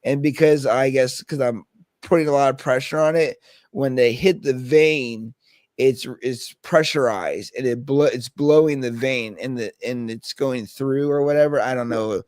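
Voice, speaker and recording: A man's voice: medium pitch, measured pace, a little mellow, no room sound, studio quality. A man in his thirties chatting casually as host, telling stories animatedly with frequent laughs and swearing. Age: 30s. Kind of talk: casual chat.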